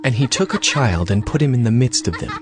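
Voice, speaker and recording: A man's voice: mid-range voice, animated, fairly quick, a little hiss or hum. A man narrating scripture from a script as the storyteller of a dramatized audio reading.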